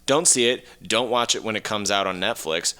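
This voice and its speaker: Very deep, bright, crisp-voiced blunt man. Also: normal speed. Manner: blunt, emphatic. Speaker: podcast co-host. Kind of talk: casual chat.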